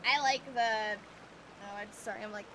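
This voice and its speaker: A woman's voice: mid-range voice, steady tone, moderate pace, fairly bright tone, a little hiss or hum. A woman livestreaming a video game, chatting casually to her viewers over game music. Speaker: livestream host. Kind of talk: casual gaming livestream chat. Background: video game music and dialogue.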